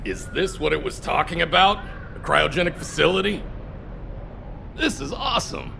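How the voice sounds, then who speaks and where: An excitable, foolish young hunter sidekick for a video game. The voice is high, very creaky and swoops about brashly, with noise behind it. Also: male voice, normal speed, full character voice. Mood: excited. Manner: brash, naive.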